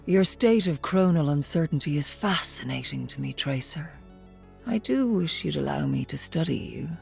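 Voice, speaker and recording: A coolly superior woman of science from a video game. Her voice is very low with a creaky edge, measured and probing, over faint music. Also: lively intonation, darkish tone, exaggerated voice. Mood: cool curiosity.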